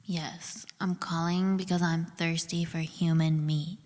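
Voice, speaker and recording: Woman acting a slow, whispery creepy cannibal lady. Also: lowish voice, flat delivery, darkish tone, in a large hall, natural voice. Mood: creepy craving. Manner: breathy, whispering, slow, menacing. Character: creepy woman cannibal calling a radio show. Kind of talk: comedy radio drama acting.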